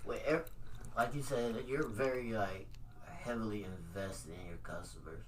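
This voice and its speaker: Slightly distant from the microphone, with faint background noise, a man with a lowish voice hosts a casual interview. He speaks haltingly, restating and rephrasing as he builds toward his questions. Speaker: podcast host, interviewer. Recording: distant mic, noisy background ambience.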